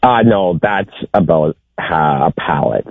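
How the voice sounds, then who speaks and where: A man calling in to a radio game, joking around and humming song snippets, with a raspy deep voice. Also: lively intonation, slow. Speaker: caller. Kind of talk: casual radio call-in game. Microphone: phone. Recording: tinny phone line.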